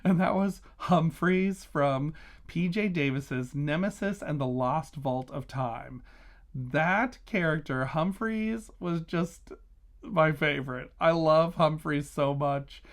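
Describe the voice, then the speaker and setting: A man's voice: on the high side, slow. Gushing, enthusiastic man chatting about favorites. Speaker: book reviewer and vlogger. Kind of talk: casual chatty book review vlog.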